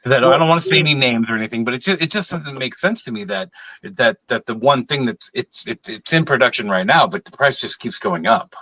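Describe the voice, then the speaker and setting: A man's voice: mid-range voice, expressive, quick pace, sharp tone, low-quality recording. A drunk man giving opinions in casual chat, stammering and repeating words as he starts his sentences.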